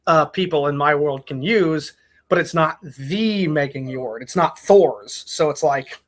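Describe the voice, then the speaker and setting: A man's voice: on the high side, unhurried, fairly bright tone. A man casually talking with friends as host, explaining his thoughts in a hesitant, considered way. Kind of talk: casual group chat.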